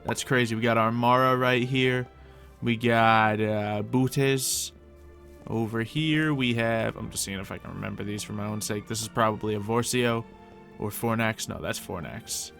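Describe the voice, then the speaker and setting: A man's voice: fairly low pitch, fairly even intonation, normal speed, creaky, a bit bright, soft background music. A man giving casual, improvised gameplay commentary in character, chatting to himself and thinking aloud as he goes. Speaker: gaming video host playing in character.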